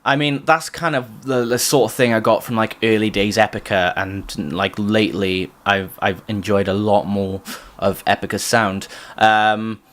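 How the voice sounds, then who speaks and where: A man co-hosting a podcast, reacting casually to music and explaining his opinions in a halting, searching way. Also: on the low side, steady tone, fairly bright tone, a little hiss or hum. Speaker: podcast co-host reviewing songs.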